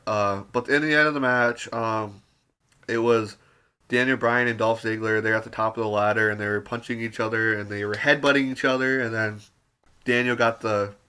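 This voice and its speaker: A man with a lowish, bright and forceful voice talks through a review off the cuff, looping back on himself with ums and likes and dropping the odd swear. His throat is wearing out, so the tone turns slightly raspy and he coughs now and then. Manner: rambling, filler-heavy, swears casually. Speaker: wrestling show reviewer. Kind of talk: casual unscripted review.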